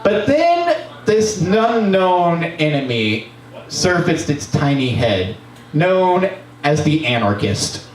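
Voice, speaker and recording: A man in his twenties doing a profane, animated comic history monologue at an open mic in a small bar, sometimes breaking into shouts. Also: a little high, slow pace. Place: small bar stage, indoors. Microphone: stand mic, distant camera. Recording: roomy bar, camera far off.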